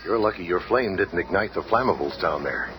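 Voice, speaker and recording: An actor playing an earnest, authoritative superhero team leader in a 1970s radio play. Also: male voice, sits low, animated, brisk. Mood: serious. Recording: noisy old radio recording.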